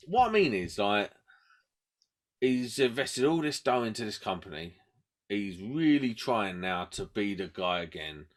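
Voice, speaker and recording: Man, podcast host, lively swooping intonation, slangy, casual banter. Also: fairly low pitch. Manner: blokey, slangy, sweary, repeats phrases.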